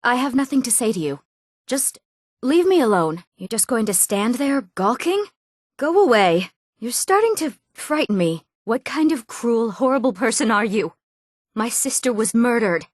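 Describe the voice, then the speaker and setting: A woman's voice: a little high, very animated, normal speed, no room sound, clean studio sound. A woman voicing an emotive, dramatic young woman in a fantasy video game. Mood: distraught, angry. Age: young adult. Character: eager, wronged young woman of a fantasy world. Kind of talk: video game character voice lines.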